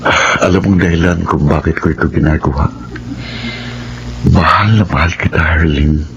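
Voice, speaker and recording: A deep, creaky man's voice as a lovelorn, patient suitor in a melodrama. He is tender and earnest, in dull sound with faint noise. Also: steady tone, normal speed, a bit bright. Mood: tender, pleading. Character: devoted, patient young suitor in love. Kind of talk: Filipino melodrama acting.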